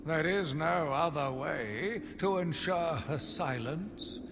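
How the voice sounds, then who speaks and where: An actor playing a zealous, deluded asylum warden who delivers a theatrical, deliberate diary monologue in a video game. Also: male voice, fairly high pitch. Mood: scheming. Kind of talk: dramatic first-person game monologue. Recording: long reverb, clearly noisy ambient backdrop.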